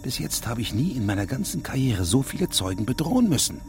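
A man's voice: medium pitch, very animated, fast, darkish tone, soft background music. A man playing a stern, pedantic federal judge in a radio drama, with formal, precise phrasing. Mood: stern, insistent.

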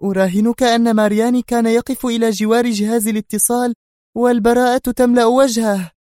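A shrill, high-pitched woman spy, as a male narrator puts her on, pressing her point in an urgent, strained rush. The voice is mellow and dark in tone despite its height. Everything sounds close and dry, with no room around it. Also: steady tone, fast, no room sound. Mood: agitated. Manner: urgent, agitated. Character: sharp-tongued nervous woman spy. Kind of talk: audiobook character reading.

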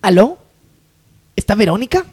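A man's voice: sits high, sing-song, moderate pace, darkish tone, slightly rough, full character voice. A man playing a jealous, bossy rich woman in a parody radio soap, melodramatic and haughty. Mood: brisk, scheming.

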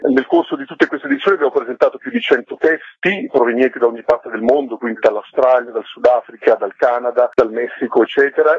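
A man's voice: mid-range voice, slightly rough, dry recording. A man speaking as an interview guest, explaining things as a festival curator on a muffled remote line.

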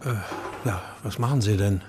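An actor playing a brooding, grieving writer who narrates a radio drama in a subdued, introspective manner. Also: male voice, lowish voice, normal speed, noisy background, natural voice. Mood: guarded, hesitant. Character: brooding married male writer, grieving missing daughter. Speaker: narrator and lead character. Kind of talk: first-person radio drama narration and dialogue.

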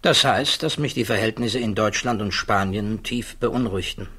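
An actor playing a thoughtful middle-aged scientist under questioning in a radio drama, measured, guarded and dignified. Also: male voice, on the low side, a bit bright, breathy edge. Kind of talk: 1960s German radio drama acting.

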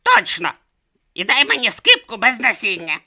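A man's voice: extremely high pitch, huge pitch swings, moderate pace, sharp tone, pitched above the performer's own voice, heavily acted. A man voicing a bossy, grumpy male god trapped as a tortoise, barking impatient orders.

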